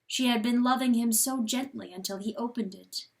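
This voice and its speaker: A woman giving a dramatic, intense and deliberate storybook narration. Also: a little high, poor audio. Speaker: audiobook narrator.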